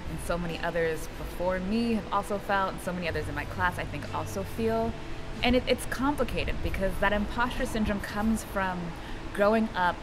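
A young woman, a graduate student, speaking in a measured, earnest way in a documentary interview in a bright room. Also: medium pitch, over music, poor audio. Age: young adult.

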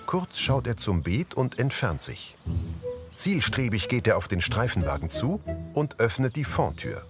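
A man's voice: mid-range voice, big pitch swings, measured pace, over music. A man reading a scripted audio description of a drama, narrating scenes in the present tense.

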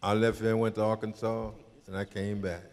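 Low-voiced man in his eighties. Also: level tone, fairly quick, a little mellow, slight background noise. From New Orleans, Louisiana. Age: 80s. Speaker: panel guest, Mardi Gras Indian chief. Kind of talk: telling a personal story to an audience. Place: indoor community hall. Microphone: handheld mic. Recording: long echo in a hall.

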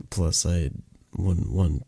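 Man acting a dark, husky mafia boss lover. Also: no echo, exaggerated voice. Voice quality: breathy, husky, low.

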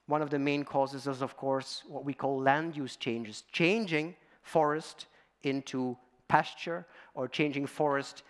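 Man giving a conference talk. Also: fairly high pitch. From Belgium. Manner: storytelling, audience-addressing, with fillers. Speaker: guest speaker. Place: large dark auditorium.

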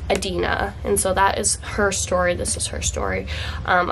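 A woman's voice: mid-range voice, a bit bright, a little hiss or hum. A young woman book vlogger chatting to camera in a dim indoor room, congested from a cold, gushing and chatty with fillers. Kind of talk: casual chat to camera.

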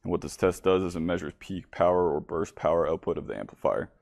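A man's voice: deep voice, lively intonation, fast talker, gravelly, darkish tone, no room sound. A man narrating a product demonstration casually, speaking informally and straight to viewers as the presenter. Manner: informal, addressing viewers directly.

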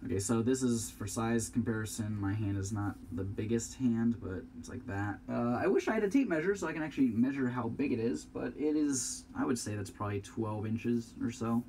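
A young man talks through an unboxing at a desk in a small home room, his voice stuffy and sniffly from congestion. He rambles, wonders aloud and drops the odd curse, in an ordinary mid-range pitch. A faint hiss sits behind him. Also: fairly quick, hardly any pauses, dark tone. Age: young adult. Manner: rambling, chatty, swears casually, thinks aloud. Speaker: product reviewer. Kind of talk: casual unboxing review.

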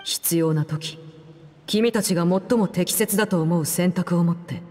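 A woman playing a cold, commanding parent and fighter in a Japanese video game, speaking in a measured, imperious, menacing way. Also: fairly low pitch. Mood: stern, composed. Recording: loud game music over the voice. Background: loud music.

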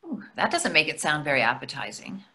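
A woman's voice: low voice, huge pitch swings, a little fast, bright, forceful tone, exaggerated voice. An older woman playing a hungry, nagging wife who questions everything in a staged comedy reading over a video call. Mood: fretful. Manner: querulous, questioning. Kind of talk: readers theatre comedy acting. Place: indoor room on a video call. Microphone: video call microphone.

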